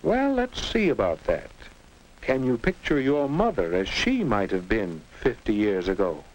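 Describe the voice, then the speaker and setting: A patient American narrator of a 1950 classroom film. His mid-pitched voice rises and falls in an animated way as he instructs with measured reassurance. The old soundtrack carries some noise. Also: rough recording. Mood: patient, guiding. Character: patient, instructive male narrator guiding a teenager.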